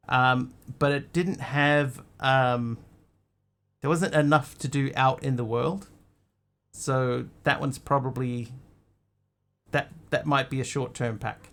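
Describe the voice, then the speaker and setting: A man's voice: mid-range voice, fairly bright tone. A man who grew up in Australia, chatting casually to his stream audience in a rambling, hesitant way with lots of ums and restarts. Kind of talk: casual live-stream chat.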